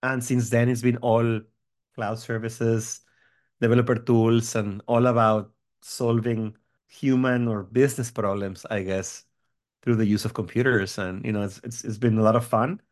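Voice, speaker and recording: A man's voice: mid-range voice, level tone, moderate pace, darkish tone. A man, chatting as a guest, friendly and rambling. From Mexico. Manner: friendly, rambling, many fillers and restarts. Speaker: guest, tech company founder. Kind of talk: interview chat.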